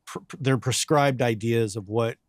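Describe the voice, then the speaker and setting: A man from southern New Mexico hosts a podcast interview at a medium pitch. His voice is recorded dry with no room sound, and he talks in a friendly, loose way, often restarting his phrases. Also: moderate pace, no room sound. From southern New Mexico, USA. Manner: friendly, repeats words as he talks. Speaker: podcast host. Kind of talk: casual interview chat.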